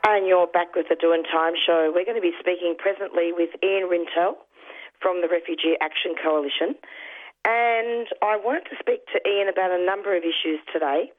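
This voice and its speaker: A woman radio host with a slightly lost, worn voice, pitched fairly low. She talks earnestly, often restarting her phrases. The sound is thin and phone-like. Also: moderate pace. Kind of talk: community radio interview. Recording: tinny phone-like radio audio.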